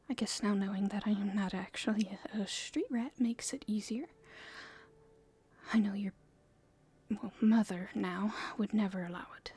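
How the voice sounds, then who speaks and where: A woman playing an earnest, hopeful young princess in a soft, halting, breathy whisper for an ASMR roleplay. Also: mid-range voice. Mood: gentle, earnest. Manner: halting, soft-spoken, thinking aloud.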